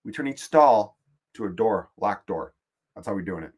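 A man with a Chicago accent rants through a comic podcast monologue, swearing freely and circling back on his own sentences. His voice sits a bit low, and he sounds fed up, loose and chatty. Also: animated, normal speed, slightly rough. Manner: profane, exasperated, rambling. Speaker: podcast host. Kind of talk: comedic monologue rant.

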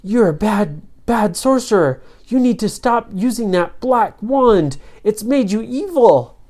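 Woman as high-voiced, excitable young boy hero. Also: unhurried. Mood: indignant. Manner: exclamatory, earnest, pleading. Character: excitable young brothers, earnest and indignant. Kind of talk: children's story character voice.

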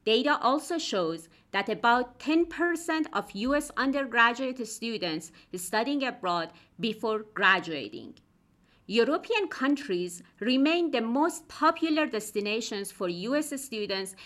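Bright-voiced woman podcast host. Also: a little high, expressive. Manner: clear, measured reading of prepared text. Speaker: podcast host, assistant professor. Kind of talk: scripted podcast intro and interview questions.

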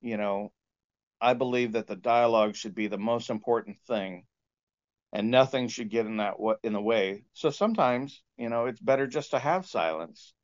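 A man speaking as a composer and sound engineer, explaining his approach in a halting, informal interview manner. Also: mid-range voice. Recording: dull lo-fi audio.

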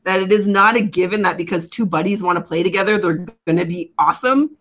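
A woman co-hosting a sports podcast, talking in an opinionated, rapid way full of rhetorical questions. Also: on the low side, a bit bright. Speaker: podcast co-host. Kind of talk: casual sports podcast chat.

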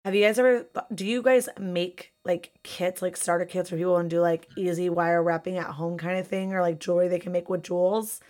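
A woman in her thirties hosting a live-streamed chat show, hoarse from losing her voice, talking casually and swearing freely. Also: lowish voice, brisk, few pauses. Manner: profane, chatty, animated. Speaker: podcast host.

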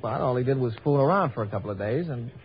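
An American actor playing an easygoing, helpful police sergeant in a 1960 radio drama, chatty and informal. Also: male voice, medium pitch, expressive, fast, slight background noise, natural voice. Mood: casual, helpful. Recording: muffled old radio recording.

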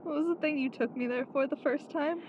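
Woman acting tense loyal friend, audio drama, blunt and wry, high pitch, dull muffled recording in a vehicle. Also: brisk, lightly acted. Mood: wry, teasing. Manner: wry teasing, blunt, tense under pressure. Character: stressed, sweary woman driver, loyal, wry, protective friend. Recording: muffled, loud vehicle noise. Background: vehicle driving noise.

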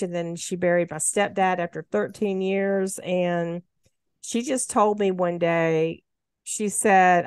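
Smooth-voiced woman in her sixties. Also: mid-range voice, no echo, studio quality. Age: 60s. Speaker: guest sharing her story. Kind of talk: casual heartfelt conversation.

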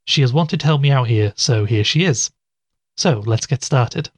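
An actor as husky male mystery podcaster. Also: mid-range voice, lively intonation, moderate pace, no room sound, close to an everyday voice. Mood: relaxed, conversational. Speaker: podcast host. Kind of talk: scripted audio drama in podcast presenter style.

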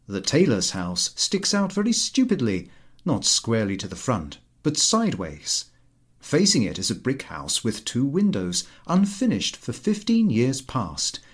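Male narrator for literary fiction audiobook: expressive, lowish pitch, slightly husky, lively intonation. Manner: expressive storytelling delivery. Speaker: audiobook reader.

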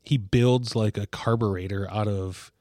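A man's voice: fairly low pitch, steady tone, unhurried, mellow, no room sound. A man with a mid-range voice talks eagerly on a casual podcast. The recording is dry and close. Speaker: podcast co-host. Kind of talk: casual chat.

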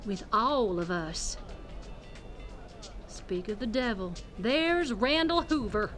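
A woman's voice: fairly high pitch, animated, takes it slowly, a bit bright, soft background music. An actress playing a composed, condescending woman author in an audio drama, with an arch manner. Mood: scornful. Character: haughty, unflappable woman author of religious books.

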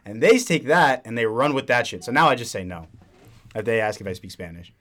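A young man with a medium-pitched voice in casual banter among friends. He is slangy and teasing, and swears easily. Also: animated, a little fast, fairly bright tone.

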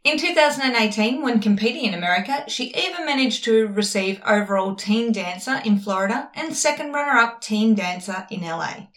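A female podcast host with a slightly high voice reads a polished introduction and asks questions. She sounds upbeat and friendly. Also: animated, a little fast, hardly any pauses, fairly bright tone. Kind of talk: interviewing and reading an introduction.

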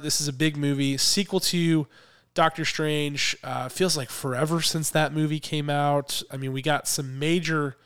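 A man co-hosting a podcast, chatting away and laughing often. His voice sits a bit high for a man and comes through smooth and clear, with a slight hoarseness from a cold. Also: fairly bright tone. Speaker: podcast co-host. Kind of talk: casual podcast chat.

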